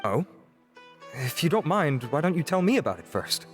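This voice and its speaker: A man's voice: a little high, big pitch swings, normal speed, over music. A man voicing a polite, helpful official in a video game. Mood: curious. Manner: courteous.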